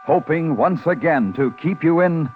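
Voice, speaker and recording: A man announcing and pitching for the sponsor on a 1950s radio show, with theatrical, emphatic delivery. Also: animated, a little slow, narrow, tinny audio, over loud music. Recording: thin, band-limited 1950s radio broadcast.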